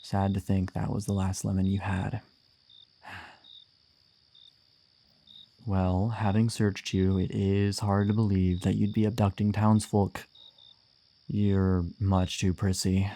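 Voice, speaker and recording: A man playing a gruff, wry, flirtatious monster hunter and bounty hunter in an immersive fantasy audio roleplay. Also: fairly low pitch, little pitch movement, a little slow, mellow. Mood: teasing. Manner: teasing, wry, swearing, sighing.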